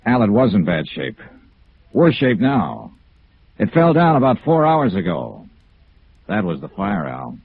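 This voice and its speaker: An American man playing a brisk, wry insurance investigator in a 1950s radio noir, clipped and matter-of-fact. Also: on the low side, wildly swooping pitch, gravelly, husky, full character voice. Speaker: lead actor and narrator. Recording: muffled old radio broadcast with music.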